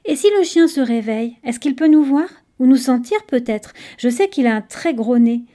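Woman voicing a tiny curious little creature, high-pitched. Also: lively intonation, a little fast, dark tone, close to an everyday voice. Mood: eager, questioning. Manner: chatty, inquisitive, earnest. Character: tiny curious eager young female night creature. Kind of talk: audiobook storytelling.

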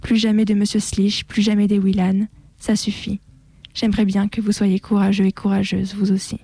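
A woman reading a written first-person testimony aloud on the radio in a solemn, measured way. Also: medium pitch, completely flat delivery, warm tone. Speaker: radio show contributor reading a testimony on air.

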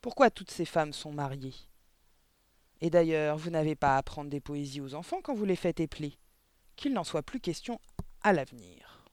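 Un homme jouant une énorme directrice tyrannique qui aboie. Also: male voice, on the high side, a little fast, few pauses, darkish tone, no room sound, natural voice. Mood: barking, domineering. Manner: barking, thundering, sarcastic. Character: huge tyrannical headmistress, scornful, bullying, barking. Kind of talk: storybook reading.

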